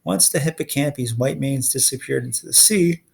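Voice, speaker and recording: A man narrates a novel aloud for a read-along. His voice sits at a middling pitch with a good deal of gravelly fry, and he reads expressively. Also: normal speed. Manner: expressive reader who acts out the dialogue.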